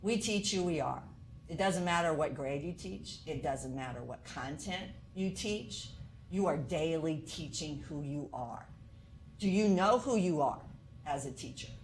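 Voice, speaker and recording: A woman's voice: low-pitched, a little hiss or hum, rough recording. A woman college instructor lecturing to teachers in an earnest way, asking many rhetorical questions.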